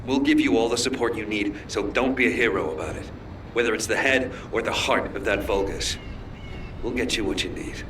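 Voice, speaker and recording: An actor playing a stern, pragmatic squad leader in a video game, curt and commanding, with music behind him. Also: male voice, lowish voice, fairly quick, noisy background, close to an everyday voice. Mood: firm, supportive. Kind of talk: video game cutscene acting.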